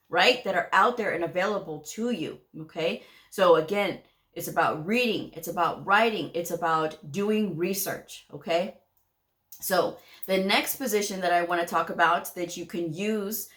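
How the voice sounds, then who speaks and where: A woman's voice: mid-range voice, a bit bright. A woman hosting her own channel who gives casual career advice to viewers, talking directly and firmly, often checking in with "okay?" and laughing lightly. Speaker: medical coding educator and channel host.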